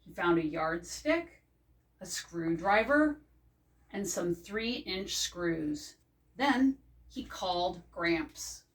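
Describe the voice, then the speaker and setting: An older woman reading a children's picture book aloud as a librarian, in a measured, careful storytelling manner. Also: fairly low pitch, lively intonation. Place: indoors before a green backdrop. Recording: distant microphone.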